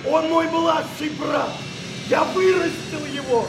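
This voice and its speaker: A man's voice: very high-pitched, steady tone, slow, vocal fry, background noise, full character voice. A man playing an anguished executioner, desperately pleading in a radio play. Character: anguished male executioner, desperately refusing, protective elder brother. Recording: echoey studio, music behind.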